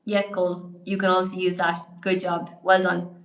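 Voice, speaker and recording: A woman's voice: on the low side, little pitch movement, normal speed, fairly bright tone. A woman teaching English on a live stream, reading out and answering viewers' comments in an encouraging, chatty way. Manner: chatty, encouraging, reacts to chat while typing. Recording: echoey room.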